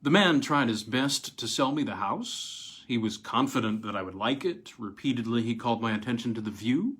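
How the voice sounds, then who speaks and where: A man's voice: medium pitch, expressive, normal speed, fairly bright tone, breathy edge. Man reading an old weird tale. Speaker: reader of short fiction. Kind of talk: dramatic audiobook-style narration of an old weird tale.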